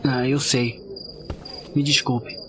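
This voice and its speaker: An actor playing an earnest, naive young paladin apprentice in a fantasy audio drama, respectful and a little hesitant. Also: male voice, medium pitch, level tone, takes it slowly, a bit bright, a little hiss or hum. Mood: earnest, puzzled.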